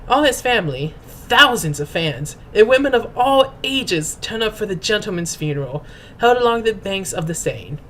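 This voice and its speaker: A woman's voice: mid-range voice, expressive, moderate pace, few pauses, a bit bright, slight background noise, rough recording. A woman host reading aloud as a dramatic narrator, in a pompous, mock-grand and formal manner. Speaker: host and reader. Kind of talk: theatrical dramatic reading of fan fiction.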